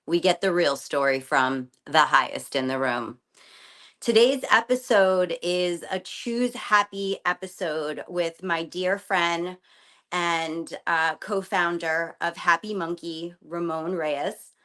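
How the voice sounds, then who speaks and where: A woman hosts a casual interview, her New plain and her talk candid and full of slang. Her voice sits on the low side for a woman. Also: steady tone, slow, fairly bright tone. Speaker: podcast host.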